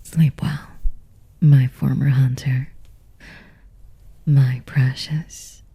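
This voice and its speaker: A woman playing a sadistic, seductive vampire mistress in an intimate roleplay, whispering in commanding, condescending, cooing endearments with a husky, breathy voice. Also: level tone, extremely slow, warm tone, no room sound, heavily acted. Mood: soothing, possessive. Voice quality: breathy, husky whisper with creaky low tones.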